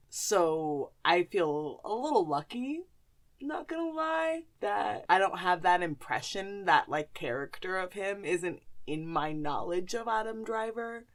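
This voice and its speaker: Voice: medium pitch, big pitch swings, measured pace. A woman podcast host chatting casually and informally about films and actors, with some slang.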